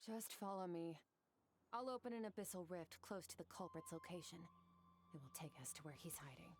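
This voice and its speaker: A woman playing a cold, composed ancient warrior master in a video game, calm and detached. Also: on the low side, brisk, slight background noise. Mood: cool, commanding. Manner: calm, detached, matter-of-fact. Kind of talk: scripted video game dialogue.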